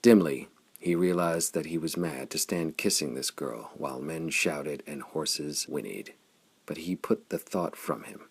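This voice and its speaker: A man with a gravelly, husky voice narrating pulp adventure fiction in a dramatic, intense storytelling manner. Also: extremely low pitch, unhurried. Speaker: audiobook narrator.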